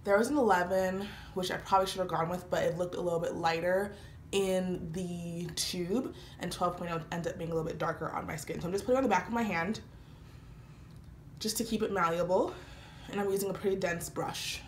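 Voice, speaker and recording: A young woman talking casually through a makeup routine, slightly congested from a cold, chatty and relaxed with frequent fillers. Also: fairly low pitch, a little fast. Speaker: beauty vlogger. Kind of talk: casual makeup tutorial talk. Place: small indoor room, patterned wall with lights. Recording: roomy echo, faint background hiss.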